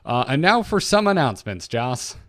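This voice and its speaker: A man with an average-pitched voice chats as a podcast co-host. His delivery is informal and conversational. The recording is dry, with next to no room sound. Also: sing-song, moderate pace, fairly bright tone, no echo. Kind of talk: casual podcast chat about gaming.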